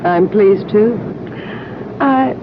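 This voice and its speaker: A dull, clearly noisy vintage radio recording of a female traveller. Her voice sits at an ordinary height, and she talks in a polite, friendly, gently hesitant way. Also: medium pitch, very slow. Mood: friendly, coy. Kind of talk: 1970s radio drama acting. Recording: muffled 1970s radio broadcast, clear noise.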